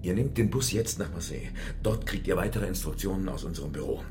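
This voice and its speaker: An actor playing an agitated, wheedling fixer in a radio crime drama, urgent and insistent, dropping into confiding asides. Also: male voice, low voice, lively intonation, quick pace, music in the background. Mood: placating, brisk. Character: wheedling, agitated male fixer urging his comrades to flee.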